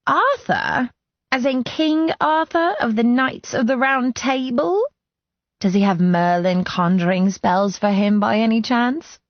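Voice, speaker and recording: A woman's voice: fairly high pitch, expressive, unhurried, no room sound, highs cut off, pitched above the performer's own voice. A woman voicing a chatty, teasing young female friend in audiobook dialogue, exclamatory and playfully sarcastic. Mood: excited.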